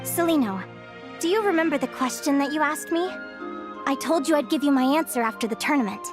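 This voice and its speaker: A high, lively young woman researcher from an anime game. She is earnest, free-spirited and determined, speaking with expressive swings over faint music. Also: brisk. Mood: earnest, resolute. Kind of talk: anime-style game voice acting.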